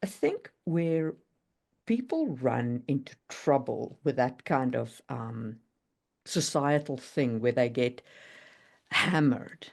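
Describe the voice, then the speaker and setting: A woman chatting casually and opinionatedly, in an animated way, as on a writing podcast. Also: a bass voice, takes it slowly, vocal fry. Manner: animated, opinionated, laughs readily. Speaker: podcast guest discussing writing. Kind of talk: casual chat.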